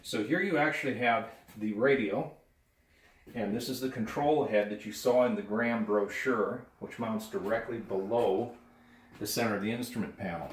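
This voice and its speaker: A man's voice: on the low side, normal speed, a little hiss or hum. A man giving a hands-on demonstration as a restorer, explaining methodically and in detail. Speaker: radio restorer presenting his work.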